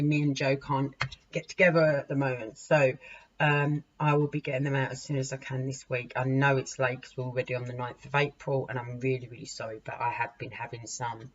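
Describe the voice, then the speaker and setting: A woman in her forties with a hoarse, worn voice reads tarot cards for her viewers. She talks in a chatty, self-mocking way, circling back and restarting her sentences. The recording sounds dull and lo-fi, with a faint hiss behind her. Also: low-pitched. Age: 40s. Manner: chatty, laughs at herself, repeats and restarts. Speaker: tarot reader. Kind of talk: casual tarot reading to camera.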